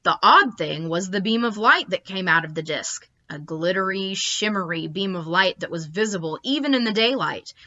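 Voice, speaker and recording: A woman's voice: lowish voice, animated, moderate pace, few pauses, pushed and bright. A woman voicing a whimsical, rambling dad who tells a tall tale in a hesitant, theatrical manner, on a slightly dull recording. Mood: wondering. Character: whimsical, rambling middle-aged British dad telling tall tales.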